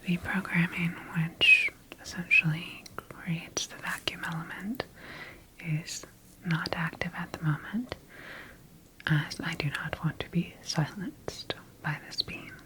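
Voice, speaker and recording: A woman playing a clinical sci-fi scientist in a whispered ASMR roleplay, dictating observations in a measured, breathy voice. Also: fairly low pitch, fairly even intonation, low-quality recording. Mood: calm, observant. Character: clinical female sci-fi scientist studying her engineered creature.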